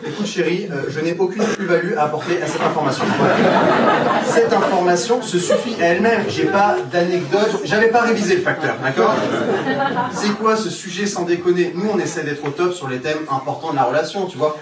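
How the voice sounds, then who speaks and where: Rapid mock-indignant man on a stage. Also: high-pitched. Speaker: stand-up comedian. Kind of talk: stand-up comedy routine. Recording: distant echoey stage recording, loud noise.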